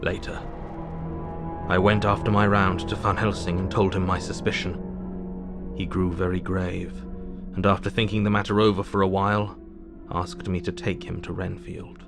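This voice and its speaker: An actor playing a grave, analytical asylum doctor narrating his diary in an audio drama, measured, thoughtful and formal. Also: low voice, little pitch movement, creaky, over music.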